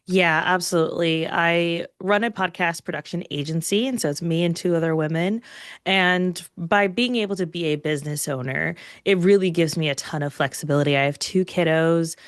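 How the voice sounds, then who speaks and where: A woman's voice: lowish voice, moderate pace, no room sound, studio quality. A woman in her thirties from upstate New York, chatting as a podcast guest over a video call, upbeat and laughing often. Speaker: podcast guest, agency owner. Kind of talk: casual interview chat.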